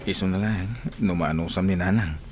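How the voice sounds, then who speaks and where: A man's voice: deep voice, fairly even intonation, normal speed, over music, lightly acted. An actor playing a dutiful young man in a radio drama, earnest and placating in manner. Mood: placating, reassuring. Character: dutiful young man, obedient to his mother.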